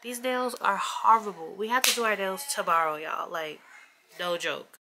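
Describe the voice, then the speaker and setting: A woman's voice: mid-range voice, normal speed, very noisy. A woman in her twenties with a stuffy nose from allergies, chatting casually to the camera in a small bedroom. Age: 20s. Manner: relaxed, chatty, many fillers. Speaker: vlogger. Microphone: camera close up on a desk.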